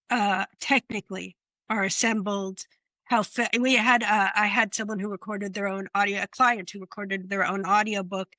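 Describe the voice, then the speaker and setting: A woman's voice: mid-range voice, pushed and bright, no room sound. A woman who works in publishing, giving direct advice in a panel discussion. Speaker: publishing professional.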